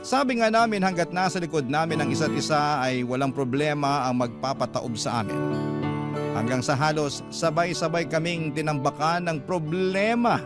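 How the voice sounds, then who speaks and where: A man's voice: fairly high pitch, moderate pace. Filipino male storyteller over guitar music. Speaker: radio drama narrator. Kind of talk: dramatic scripted first-person narration. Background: plucked guitar music.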